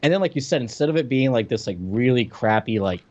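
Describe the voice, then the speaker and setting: A man's voice: medium pitch, lively intonation, fast talker, darkish tone. An American man co-hosting a podcast, talking through a TV show in an informal, hedging, conversational way. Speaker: podcast co-host. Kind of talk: casual chat reviewing a TV show.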